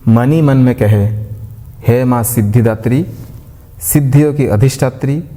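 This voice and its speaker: A man leading a devotional guided meditation, giving gentle, unhurried instructions in short phrases with pauses between them. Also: medium pitch. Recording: long reverb, like a large hall.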